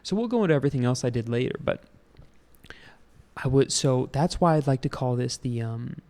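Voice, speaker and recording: Young American man, host of a solo rant show, rambling and profane, gravelly mid-pitched voice. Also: animated, brisk, mellow. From United States. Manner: rambling, profane, many fillers and restarts.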